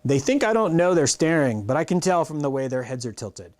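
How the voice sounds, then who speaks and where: Middle-aged man reading aloud, lively pitch. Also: fairly quick. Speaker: reader of a children's novel. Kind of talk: read-aloud storytelling. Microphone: clip-on lapel mic.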